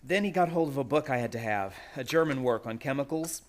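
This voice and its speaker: A man's voice: mid-range voice, expressive, lightly acted. A man playing an anxious, polite young chemist in a radio play, speaking in a hesitant, tormented way. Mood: weary confiding. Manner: hesitant, nervous, halting.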